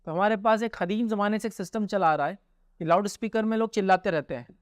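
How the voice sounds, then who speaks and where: A man's voice: high voice, quick pace, dry recording, clean studio sound. A man with an Indian accent answering religious questions informally as a scholar, explaining and often checking that listeners follow.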